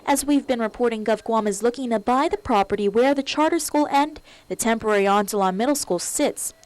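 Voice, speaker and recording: A woman with a fairly high voice narrates a scripted television news report. She speaks as a reporter presenting a story to viewers. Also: brisk, a little mellow, dry recording.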